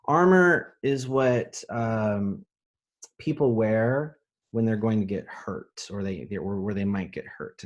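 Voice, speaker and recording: A man, an elementary school teacher, reading a picture book aloud expressively and pausing to explain it in simple words for children. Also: lowish voice.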